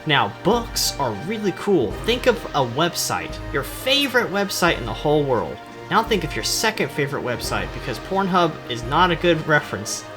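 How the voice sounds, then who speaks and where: Male gamer, lively swooping intonation, mid-pitched, sarcastic, self-deprecating, casual rambling commentary, clearly audible music behind. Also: normal speed, few pauses. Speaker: gaming video host.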